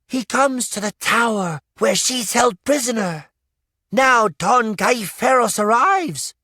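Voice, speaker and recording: A woman voicing an excitable young boy who narrates a puppet show with dramatic flair in a storybook reading. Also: sits high, no room sound, clean studio sound, pitched above the performer's own voice, heavily acted. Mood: proud announcing. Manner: breathless, dramatic showman's delivery. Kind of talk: theatrical children's storybook read-aloud.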